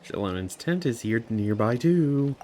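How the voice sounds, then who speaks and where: A woman's voice: rumbling low, expressive, measured pace, background noise. A woman giving casual gameplay commentary in short, wry asides. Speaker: streamer playing a game. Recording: game music under the voice.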